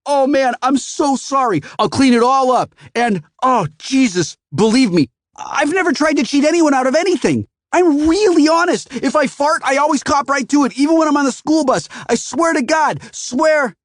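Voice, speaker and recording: A panicky, clumsy teenage boy babbles apologies in a very high, strained voice, as a male narrator puts him on. The sound is dry and close. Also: expressive, normal speed, few pauses, no echo. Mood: pleading.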